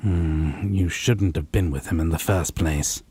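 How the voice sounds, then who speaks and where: Man playing a very deep, breathy possessive vampire. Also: warm tone. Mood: scornful, menacing. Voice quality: breathy, husky. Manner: haughty, slow, deliberate, theatrical menace. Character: possessive, condescending male vampire lover. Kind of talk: scripted roleplay audio drama monologue.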